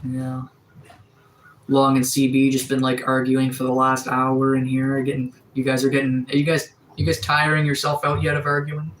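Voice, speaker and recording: A man in his thirties from Atlantic Canada chats loosely on a live stream, drifting between thoughts with plenty of fillers. His voice sits at an ordinary middle pitch. The sound is roomy and echoey, with a faint ambient hum behind him. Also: moderate pace. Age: 30s. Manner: relaxed, rambling, many fillers and restarts. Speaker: livestreamer. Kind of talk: casual live-stream chat reading viewer comments. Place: sunroom.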